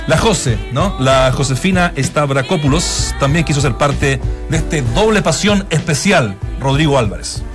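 A man's voice: on the high side, lively intonation, unhurried. A man hosting a radio sports show, introducing a farewell tribute in a playful, joking way. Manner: playful, jokey, with asides to the panel. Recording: music playing behind.

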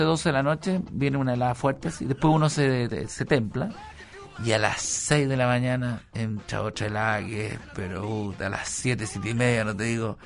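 Slangy Chilean radio host. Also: male voice, mid-range voice, vocal fry, fairly bright tone. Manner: crude slang-filled rambling. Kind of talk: casual radio monologue.

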